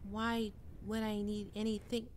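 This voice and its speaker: A woman's voice: mid-range voice, level tone, unhurried, a little hiss or hum, natural voice. A woman playing a skittish, defensive young catgirl roommate in an ASMR roleplay, stammering and prickly to hide her fear. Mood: shy, uneasy.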